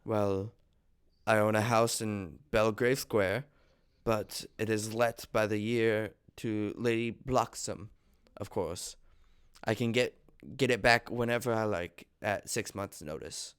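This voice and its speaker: Polite young gentleman suitor read by a man, deep clear voice, nervous and deferential, stage comedy read-through. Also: fairly even intonation, slow. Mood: earnest, hesitant. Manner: deferential, hesitant, formal. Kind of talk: amateur table reading of a stage comedy.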